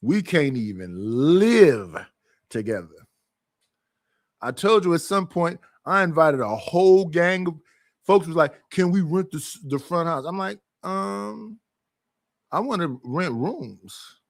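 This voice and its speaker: A man's voice: a little high, expressive, slow pace, no room sound, studio quality. A man hosting a livestream, talking loosely and bluntly in a casual, conversational way. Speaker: livestream host.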